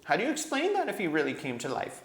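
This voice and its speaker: Casting: male reader playing an angry, cunning fantasy dwarf; biting, distinct delivery, raised pitch, lively swings. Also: roomy sound, exaggerated voice, low-quality recording. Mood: scornful arguing. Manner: slow, distinct, cold, rising to shouting.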